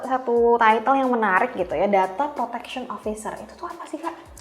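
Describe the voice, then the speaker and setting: A woman's voice: fairly high pitch, fairly quick, soft background music. A woman with an Indonesian accent hosting a casual interview, informal and responsive, recapping and asking follow-up questions. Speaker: podcast host. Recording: roomy, echoing voice.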